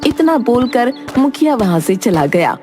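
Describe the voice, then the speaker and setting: A woman's voice: a little high, sing-song, a little slow, over music. A woman narrator reading a scripted moral tale in a storytelling style.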